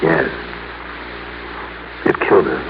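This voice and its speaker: An American actor playing a shrewd, hard-boiled insurance investigator in 1950s radio drama, with a pointed, probing, clipped delivery. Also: male voice, rumbling low, takes it slowly. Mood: grim, quiet. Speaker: lead actor and narrator of a detective series. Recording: tinny, noisy old radio recording.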